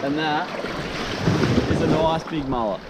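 Easygoing Australian guy, high voice. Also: measured pace. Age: young adult. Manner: relaxed, chatty, easygoing slang. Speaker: fishing mate, guest. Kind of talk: casual outdoor how-to. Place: ocean beach outdoors, in the shallows. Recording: loud surf noise behind.

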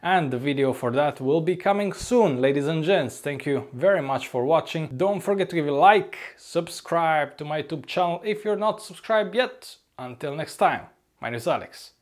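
Upbeat young man, slightly hoarse. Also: a little high, expressive, moderate pace. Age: young adult. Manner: chatty, upbeat, often says "ladies and gents". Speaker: vlogger, flight crew member. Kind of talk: casual vlog to camera. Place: apartment room, indoors. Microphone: lapel mic.

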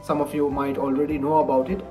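A man's voice: mid-range voice, little pitch movement, quick pace, dark tone. An earnest young man from India speaks with an Indian English accent and a mid-range voice, stressing key words as he explains things to camera. He records at home in a book-lined study, with faint music underneath. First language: Bengali. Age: 20s. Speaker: vlogger, college lecturer. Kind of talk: personal advice vlog to camera. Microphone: webcam-style framing, head and shoulders.

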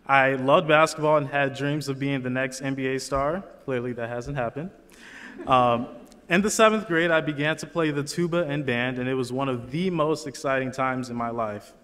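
A man's voice: mid-range voice, a bit bright, clear, resonant tone. A young man from Chicago giving a student commencement speech at a lectern on a large auditorium stage, earnest and chatty with asides to the crowd. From Chicago, USA. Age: young adult. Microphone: lectern microphone. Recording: long hall reverb.

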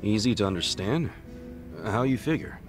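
Man acting a lively, blunt streetwise brawler. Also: fairly low pitch, moderate pace, faint music behind, lightly acted. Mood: curious. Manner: blunt, slangy, incredulous questioning. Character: blunt, earnest, streetwise middle-aged male underdog brawler. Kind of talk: video game cutscene dialogue acting.

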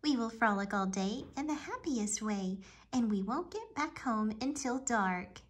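Lady doing a happy little mouse guy from a kids storybook, eager and kinda high voiced. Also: expressive, a little slow. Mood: merry, singsong. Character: cheerful, friendly male cartoon mouse leading a picnic. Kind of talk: children's storybook read-aloud.

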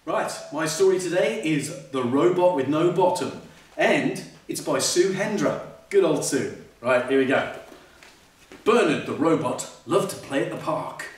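A man's voice: on the high side, animated, a little slow. Older male storyteller, theatrical and playful, swooping delivery, recorded from a distance on an echoey stage. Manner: theatrical, exclamatory, playful asides. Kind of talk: animated children's storybook reading. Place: indoor curtained stage. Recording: echoey hall, distant mic, faint noise.

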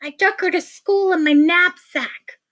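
A woman's voice: very high-pitched, a little slow, fairly bright tone, voice pushed up. A woman voicing a flustered young schoolboy, indignant and exclaiming, in a children's story. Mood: urgent pleading. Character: flustered young schoolboy protesting to grown-ups.

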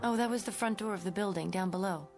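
A woman's voice: medium pitch, quick pace, lightly acted. A woman playing a hesitant, proper, defensive schoolteacher in a radio drama. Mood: uneasy. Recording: music under the voice.

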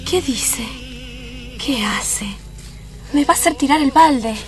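Woman acting anxious, high-voiced Argentine sweetheart. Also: expressive, slow pace. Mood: flustered. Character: anxious, secretive young sweetheart, torn and pleading. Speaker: actress. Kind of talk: tango radio play acting. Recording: music behind the voice.

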